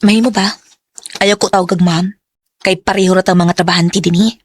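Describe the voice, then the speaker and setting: A woman acting a heartbroken, proud young office worker in a Philippine radio drama, with a Cebuano accent and expressive delivery. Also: on the low side, brisk, no echo, close to an everyday voice. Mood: curt, irritated. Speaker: lead actress and first-person narrator.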